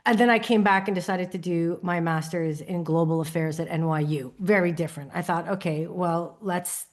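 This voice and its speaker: A woman in her thirties from New York City with a New York accent, telling her story as an interview guest in an expressive, conversational way. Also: fairly low pitch, normal speed. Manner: expressive storyteller, lively rises and laughs. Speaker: podcast guest, creative studio founder. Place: lives in Copenhagen.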